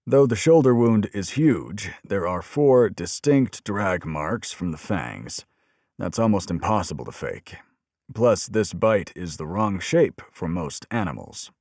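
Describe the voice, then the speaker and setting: A man voicing a tubby old medical examiner in an audiobook, grimly matter-of-fact and measured as he explains. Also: deep voice, very animated, a little mellow, no echo, studio quality, pitched above the performer's own voice, heavily acted.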